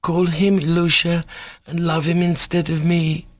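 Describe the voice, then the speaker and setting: Man voicing a plaintive, high-pitched sick little boy. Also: level tone, unhurried, dry recording, close to an everyday voice. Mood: tender pleading.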